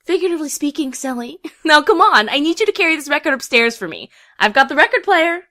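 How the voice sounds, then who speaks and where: Excitable high-voiced unicorn mare, acted by a woman. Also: fast, heavily acted. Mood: excited, playful. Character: eccentric excitable young female unicorn, brisk and eager. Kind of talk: amateur audio drama acting.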